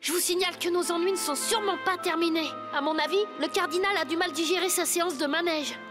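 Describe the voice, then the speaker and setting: A woman voicing a cautious yet cheeky young sidekick in an animated cartoon, with childlike delivery. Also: very high voice, fast talker, music in the background. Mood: wary. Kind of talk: French animated cartoon dub.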